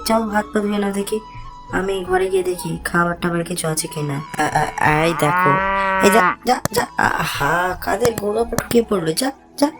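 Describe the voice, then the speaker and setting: A woman acts a practical village wife from a cartoon, scolding and fussy in a mid-range voice. Music plays clearly behind her. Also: medium pitch, sing-song, moderate pace. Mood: fussing.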